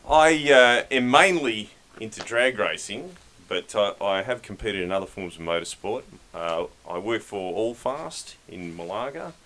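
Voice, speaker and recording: Man, very low pitch, bright forceful tone, laid-back self-deprecating co-host. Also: lively intonation, a little slow, few pauses, slight background noise. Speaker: podcast co-host. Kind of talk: casual podcast chat.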